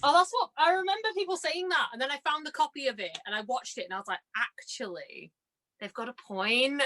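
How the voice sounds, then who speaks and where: A young woman chatting casually as a guest, telling stories in an animated, giggly and self-deprecating way, acting out bits of dialogue. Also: high voice, fairly quick, a little hiss or hum. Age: young adult. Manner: chatty, animated storyteller who acts out reported dialogue. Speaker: podcast guest, stage and radio drama performer. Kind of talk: casual chat, telling anecdotes.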